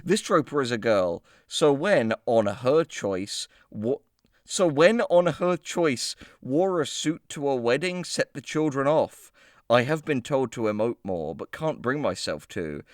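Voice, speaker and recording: A man's voice: mid-range voice, lively intonation, measured pace, dry recording. Sweary male podcast host, very dry sound. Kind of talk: casual comedic banter, reading posts aloud.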